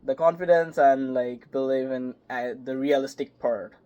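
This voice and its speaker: A young man, a voice actor, chatting casually in an interview, halting and filling with "like" and "yep". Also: mid-range voice, fairly even intonation, mellow. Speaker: voice actor guest. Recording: slightly muffled call audio.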